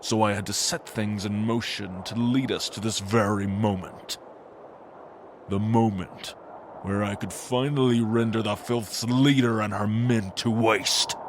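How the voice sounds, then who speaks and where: A man's voice: fairly low pitch, fairly even intonation, background noise, close to an everyday voice. A man playing an ancient, scheming angel lord in a fantasy audio drama, speaking in a pompous, sanctimonious and menacing way. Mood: gloating. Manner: pompous, slow, menacing declamation.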